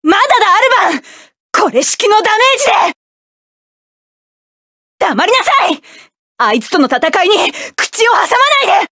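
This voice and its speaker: Brief: cool, scornful female robot pilot; clipped battle barks, high voice, dry booth sound. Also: big pitch swings, normal speed, pauses often, bright, forceful tone, no room sound, full character voice. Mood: fierce. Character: proud cool-headed female mecha pilot, sharp-tongued. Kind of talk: video game battle voice lines.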